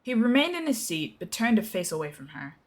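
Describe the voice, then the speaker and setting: A woman's voice: medium pitch, sing-song, brisk. A young woman narrating a dramatic reading of a fan-fiction story. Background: music.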